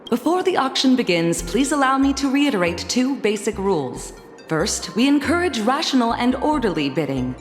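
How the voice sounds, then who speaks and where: A woman voicing a poised, formal female auctioneer in a video game cutscene, announcing in a measured way. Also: medium pitch, close to an everyday voice. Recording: studio voice with long reverb and music.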